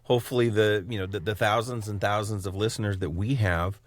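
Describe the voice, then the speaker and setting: A man co-hosting a podcast, asking questions in a casual, wordy and halting way. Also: lowish voice, steady tone, dry recording. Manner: halting, wordy, circling phrasing. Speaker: podcast co-host, interviewer. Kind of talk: casual interview chat.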